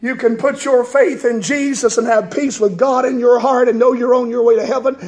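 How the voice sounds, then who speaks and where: A man preaching a fiery revival sermon in a church, a strong voice and a chanting, shouting cadence, as the congregation answers him. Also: extremely high pitch, steady tone, moderate pace. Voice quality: strong, gravelly voice. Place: church sanctuary with congregation responding.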